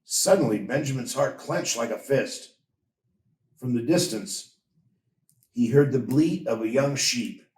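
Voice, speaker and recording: A man reading a story aloud in a measured, expressive storytelling manner. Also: mid-range voice. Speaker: story reader. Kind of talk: scripted storybook narration. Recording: roomy echo, distant from mic.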